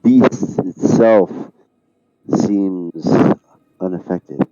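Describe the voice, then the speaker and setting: A man with a very low voice, narrating a tabletop game as game master over voice chat, talking slowly and calmly. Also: no room sound, dull audio. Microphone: headset over voice chat.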